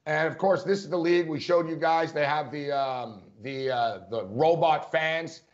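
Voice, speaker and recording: A man's voice: a little high, moderate pace. A man co-hosting a casual sports talk show, chatty, laughing often and full of fillers. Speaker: sports podcast co-host. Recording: dull lo-fi sound.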